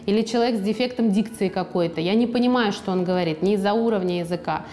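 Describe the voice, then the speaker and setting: A woman guest on a studio podcast, talking in a lively, chatty way and now and then acting out what people said to her. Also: mid-range voice, fast. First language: Russian. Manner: lively, chatty, acts out quoted speech. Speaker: guest author. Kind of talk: podcast conversation.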